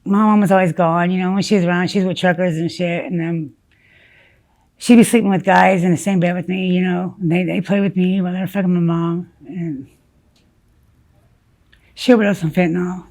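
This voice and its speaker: A woman from South Dakota, whose first language was Spanish, telling her story haltingly in an interview, full of fillers and restarts. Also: lowish voice, fairly even intonation, a little mellow, poor audio. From South Dakota, USA. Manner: halting, many fillers and restarts, blunt about grim details.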